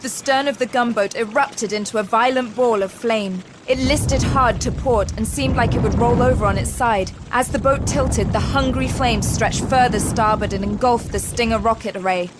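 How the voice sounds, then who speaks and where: A woman's voice: a little high, moderate pace, few pauses, very noisy. A woman reading scripted, dramatic third-person narration for an audio drama. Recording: loud action sound effects behind.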